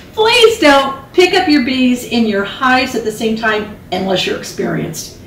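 A chatty, animated woman in her fifties explains things with her hands moving, her voice caught by a far-off camera mic. The living room adds a noticeable echo, with a faint hum in the background. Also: high-pitched, slow. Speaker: beekeeping instructor. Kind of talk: casual conversation. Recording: echoey room, distant mic.

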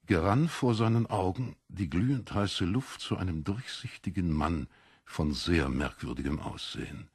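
Ein Mann liest als Hörbucherzähler einen literarischen Text, mit rauer Stimme und bedachter, dramatischer Phrasierung. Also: male voice, low voice, slightly rough, no echo, studio quality. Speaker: audiobook narrator. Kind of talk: scripted literary narration.

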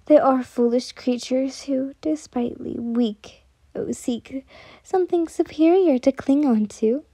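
A woman's voice: high-pitched, unhurried, warm tone, no echo, voice pushed up. A woman voicing a cold, imperious noble mother in a story, commanding and contemptuous. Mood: scornful.

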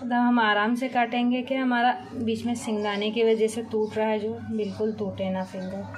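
A woman hosting a cooking channel, calmly explaining a recipe step by step from her home kitchen. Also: on the high side, moderate pace, over music.

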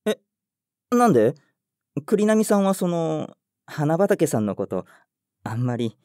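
A man's voice: on the high side, very animated, slow, dark tone, heavily acted. An actor playing a timid, over-considerate young male office worker in a voice drama, hesitant and prone to stammering. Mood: awkward. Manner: hesitant, stammering when flustered. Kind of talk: Japanese voice drama, inner narration and dialogue.

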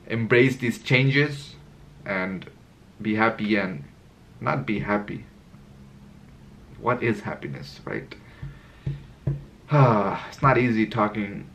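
Young male vlogger, lowish voice, candid unscripted talk to camera, rambling, home room. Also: a bit bright, a little hiss or hum. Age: 20s. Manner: candid, rambling, searching for words. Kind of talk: unscripted reflective monologue to camera. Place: indoor room with curtains.

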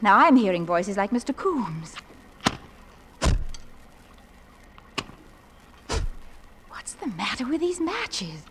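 A woman's voice: mid-range voice, sing-song, normal speed, noisy background, close to an everyday voice. An actress playing a genteel, spirited young mother with proper, polite manners in a theatrical radio play. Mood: defiant, nervous. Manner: proper, polite, indignant when crossed. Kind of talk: theatrical radio drama acting.

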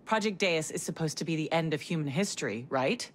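Skeptical, slightly low-voiced woman. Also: lively intonation, brisk, a little hiss or hum. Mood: wry. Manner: dry, sarcastic. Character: skeptical, wry younger female tech expert. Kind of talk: cinematic video game acting.

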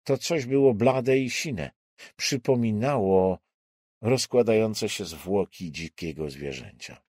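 A man narrating a crime thriller audiobook in a deliberate, suspenseful way with dramatic pauses. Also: on the low side, expressive, a little mellow, no echo, clean studio sound.

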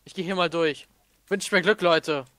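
A man chatting casually and excitably as he plays a game with friends. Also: fairly high pitch, moderate pace, dry recording. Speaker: let's player in a group. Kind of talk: casual gaming chat.

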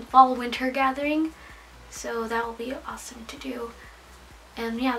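A woman's voice: on the high side, slight background noise, low-quality recording. A young woman vlogging casually from her bedroom, chatty and bubbly, her voice a bit worn from losing it. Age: young adult. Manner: chatty, bubbly, animated hand gestures. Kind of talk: casual chat to camera. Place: small bedroom full of anime figures, indoors.

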